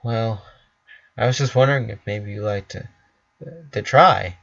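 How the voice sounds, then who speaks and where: A man's voice: on the low side, measured pace, gravelly, a bit bright, highs cut off, full character voice. A man voicing a brash but bashful female cartoon pegasus pony in a story reading. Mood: shy, hesitant. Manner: hesitant, mumbling.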